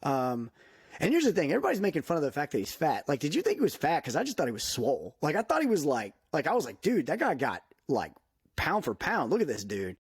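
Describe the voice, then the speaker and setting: A man's voice: on the high side, huge pitch swings, quick pace, slightly rough, dry recording, clean studio sound. A man in his thirties chatting casually as a podcast co-host, upbeat and full of fillers. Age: 30s.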